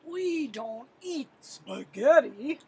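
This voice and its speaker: A woman's voice: high-pitched, expressive, drawn-out words, warm tone, husky, full character voice. A woman voicing a stern, curt adult male lion teacher in a picture book. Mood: disapproving.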